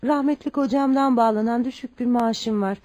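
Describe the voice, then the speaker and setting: Radio play role: poor middle-aged mother played by a woman, mellow, slightly high voice, deferential. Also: normal speed, lo-fi sound, close to an everyday voice. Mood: humble. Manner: polite, hesitant, deferential. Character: humble, proud, poor widowed mother. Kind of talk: Turkish radio drama acting.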